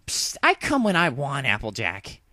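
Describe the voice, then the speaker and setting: A man's voice: medium pitch, wildly swooping pitch, moderate pace, pushed and bright, no echo, clean studio sound, voice pushed up. A man voicing a brash young flying mare in a storybook reading, cocky and teasing. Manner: teasing, self-assured.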